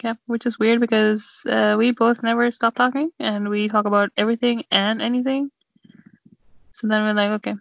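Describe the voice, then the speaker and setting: A woman's voice: medium pitch, creaky, fairly bright tone, no echo. A young woman chatting casually on her sister's podcast, rambling and a little self-conscious. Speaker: podcast guest. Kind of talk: casual sibling podcast chat. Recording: dry, dull lo-fi audio.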